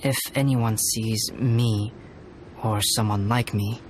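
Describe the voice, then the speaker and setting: An actor playing a calm, scholarly young male alchemist in a video game, speaking in a measured, formal, reflective way. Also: fairly low pitch, heavy background noise. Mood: calm, courteous. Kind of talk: video game character dialogue.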